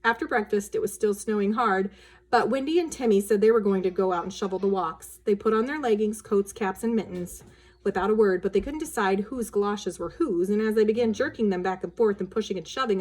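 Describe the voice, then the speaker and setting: Female storyteller for a children's book read-aloud, expressive, changing her voice for dialogue. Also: mid-range voice.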